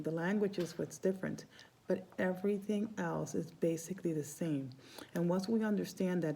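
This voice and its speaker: Rambling woman with a mellow low voice. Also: creaky. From Cuba. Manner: warm, rambling, restarts phrases often. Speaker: Santeria priestess. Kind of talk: casual spiritual vlog talk.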